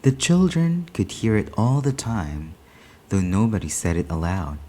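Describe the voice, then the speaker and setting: Male story reader, low and husky, measured dramatic delivery for literary fiction. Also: dark tone. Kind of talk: scripted short story read aloud.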